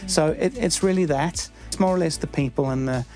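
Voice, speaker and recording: A middle-aged man from New Zealand with a New Zealand accent, answering interview questions in a halting way with many fillers and restarts. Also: fairly high pitch, darkish tone, faint music behind. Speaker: church member.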